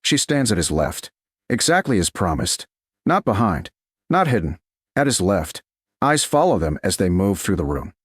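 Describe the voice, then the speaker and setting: A man narrating a scripted romance story in a measured, deliberate way, with short dramatic phrases. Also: low-pitched, very animated, slightly rough, dry recording, clean studio sound.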